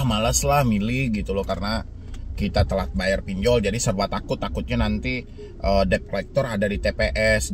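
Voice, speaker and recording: A man with an Indonesian accent and a congested, slightly hoarse voice from a cold, hosting a casual vlog while walking slowly outdoors and urging his viewers. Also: fairly low pitch, a little hiss or hum. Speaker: channel host giving debt advice.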